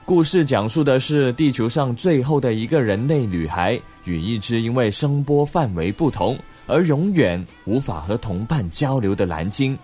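Male podcast host, animated intonation, casual presenting, soft music behind. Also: medium pitch, breathy edge. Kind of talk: casual recommendation show talk. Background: background music.

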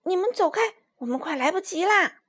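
A woman voicing a troupe of hurried, irritated circus clowns, shrill and impatient. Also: animated, fairly bright tone.

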